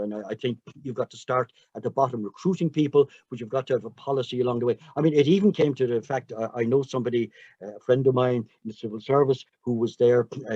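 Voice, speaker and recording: An older man answering on a panel, earnest and halting, restarting his sentences as he goes. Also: mid-range voice, mellow, no echo, muffled recording. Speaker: panel speaker.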